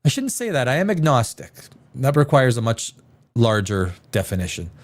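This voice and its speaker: A man's voice: mid-range voice, big pitch swings, normal speed. A man hosting a live reaction show, rambling and restarting his sentences, with a sniffly head cold. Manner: rambling, repeats words and restarts often.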